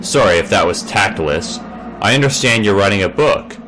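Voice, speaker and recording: An actor playing a smooth, tactless television talk show host in an animated comic. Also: male voice, on the low side, moderate pace, soft background music. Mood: breezy.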